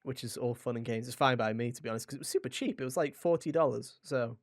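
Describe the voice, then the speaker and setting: A man with a mid-pitched voice hosts a gaming podcast. He talks informally and wanders through his thoughts as he goes. He often speaks directly to the audience in a chatty way. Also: fast talker. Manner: rambling, informal, addresses listeners directly. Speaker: podcast host. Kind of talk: casual video game podcast chat.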